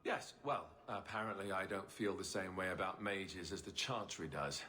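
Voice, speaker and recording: A man's voice: low-pitched, moderate pace, sharp tone. An actor playing an affable, self-deprecating king in a video game, with a wry, informal manner. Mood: candid, dry. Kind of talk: video game cutscene acting.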